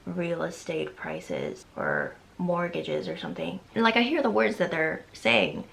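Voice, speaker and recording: A woman's voice: fairly low pitch, big pitch swings, a little slow, creaky, fairly bright tone. A woman in her early twenties talking anxiously in a casual, rambling vlog, often laughing as she speaks. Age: 20s. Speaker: vlogger.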